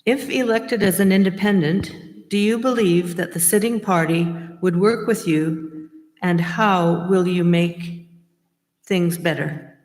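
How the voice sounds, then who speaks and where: A woman moderating a candidates meeting, reading audience questions in a measured way. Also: lowish voice, a little mellow. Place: church hall. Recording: long reverb of a hall.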